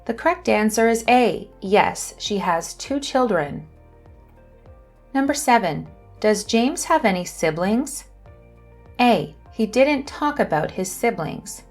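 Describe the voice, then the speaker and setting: Woman host reading a beginner listening test, very slow and clear, soft music underneath. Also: mid-range voice, lively intonation. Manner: slow, careful reading of questions and answers. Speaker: English teacher and channel host. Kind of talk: scripted lesson narration.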